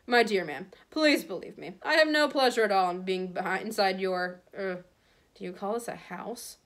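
A woman voicing a haughty aristocratic male villain in a storybook, smooth, condescending and falsely polite. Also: medium pitch, moderate pace, fairly bright tone, natural voice. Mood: sneering. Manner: oily politeness, condescending drawl.

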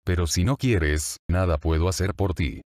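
A man's voice: low voice, moderate pace, a little mellow, no room sound, studio quality. A man giving a solemn, proclaiming welcome as host of his own channel. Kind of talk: scripted channel intro.

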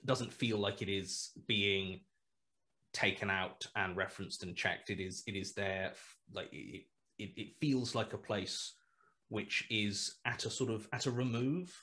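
A man in his thirties muses through a headset on a video call, his lowish voice circling back on itself with fillers and restarts. He picks his words slowly as he thinks aloud. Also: bright, forceful tone. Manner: rambling, hesitant, many restarts and fillers. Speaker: guest writer. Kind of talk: casual video call interview. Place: small room at home.

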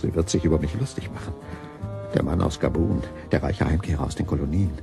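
Gravelly, brooding murderous waiter. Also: male voice, a bass voice, fast. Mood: anguished. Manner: brooding, intense, swinging into agitation. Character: bitter, obsessed waiter turned murderer. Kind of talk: German crime radio play acting. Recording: music behind the voice.

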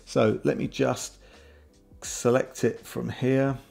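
Middle-aged man, very gravelly tech presenter. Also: mid-range voice, little pitch movement, takes it slowly, a little mellow. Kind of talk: scripted casual product review. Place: home room with shelves, indoors. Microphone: desk microphone close in front. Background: background music.

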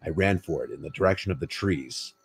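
A man narrating a scripted first-person scary story in a measured, unhurried way. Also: extremely low pitch, creaky. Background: music behind the voice.

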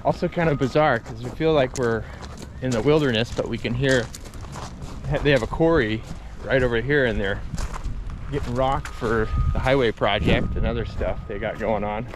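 A man in his thirties vlogging on a lake shore, relaxed and explaining what he sees. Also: medium pitch, moderate pace. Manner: easygoing, matter-of-fact, explaining. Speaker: travel vlogger. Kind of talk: casual vlog chat. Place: outdoors at a foggy glacier lake shore. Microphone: handheld action camera. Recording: outdoor wind and water noise.

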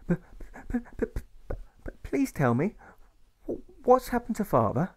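A man acts out a timid little boy in an audiobook, high and small. He stutters anxiously and pleads, repeating his words. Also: big pitch swings, measured pace, a little mellow, heavily acted. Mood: anxious, pleading.

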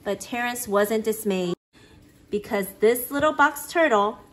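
Animated high-voiced woman reading a storybook. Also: a little slow. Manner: animated, acting out exclamations and sound effects. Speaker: parent reading a picture book aloud. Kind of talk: expressive children's story read-aloud. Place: living room at home.

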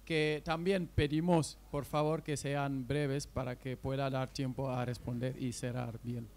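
A man's voice: medium pitch, level tone, unhurried, a little mellow. A man politely moderating a panel at a public event, speaking into a handheld mic. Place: panel table in an event tent.

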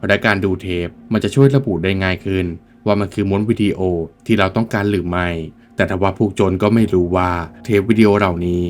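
A man's voice: lowish voice, darkish tone. A man reading scripted film commentary as a video essay narrator, explaining in an emphatic storytelling manner.

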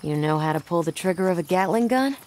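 A woman playing a tough, brisk young runaway bride in a Western video game, self-assured and sharp-tongued. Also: fairly low pitch, expressive, natural voice. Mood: brisk, dry. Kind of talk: video game cutscene acting. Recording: music under the dialogue.

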